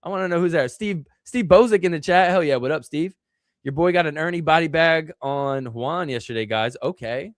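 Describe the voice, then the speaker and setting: A man's voice: on the high side, brisk, no echo, studio quality. A man hosting a casual live stream, rambling with lots of fillers, reading out the chat, his voice still recovering from being lost. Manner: rambling, filler-heavy, reads chat aloud. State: recovering from illness and voice loss. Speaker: host of a live stream show.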